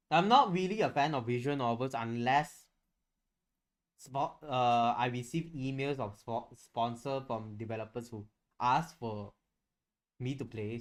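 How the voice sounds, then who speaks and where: A man chatting casually on a livestream, rambling and candid, swearing freely as he goes. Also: mid-range voice. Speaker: streamer and VTuber.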